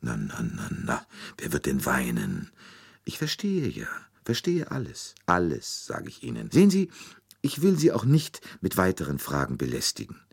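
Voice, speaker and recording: A man voicing a shrewd, courteous gentleman detective, calm, deliberate and soothing, with bits of French, in an audiobook story. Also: sits low, slightly rough, no room sound, clean studio sound, voice pushed up, full character voice.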